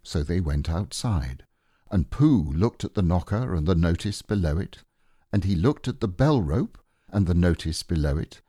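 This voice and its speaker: A man's voice: low-pitched, dark tone, slightly rough, no echo, clean studio sound. A man narrating a children's story expressively as an audiobook reader.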